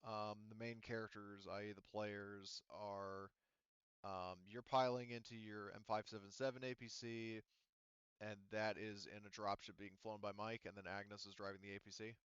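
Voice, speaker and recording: A man acting as game master in a casual, unscripted tabletop session, thinking aloud in a halting, casually profane way. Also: on the low side, flat delivery, a bit bright, smooth, dry recording, dull audio.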